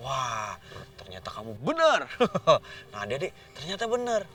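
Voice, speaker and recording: A man's voice: mid-range voice, wildly swooping pitch, moderate pace, a bit bright. A man telling a story to children in an informal, chatty way, often putting questions to his young listeners.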